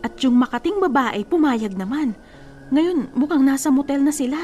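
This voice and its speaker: An actress playing a blunt, bossy female cousin in a radio drama, teasing and scolding with a sharp tongue. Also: high voice, animated, moderate pace, slight background noise.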